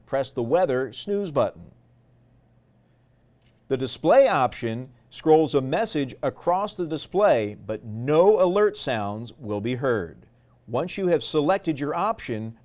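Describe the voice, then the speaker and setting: A man's voice: a little high, a little mellow. A man narrating a product tutorial step by step in a methodical, explanatory way. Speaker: instructional presenter.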